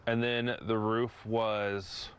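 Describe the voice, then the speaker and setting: A man's voice: fairly low pitch, little pitch movement, very slow, clear, resonant tone. A middle-aged man speaking casually as a homeowner being interviewed about his own experience.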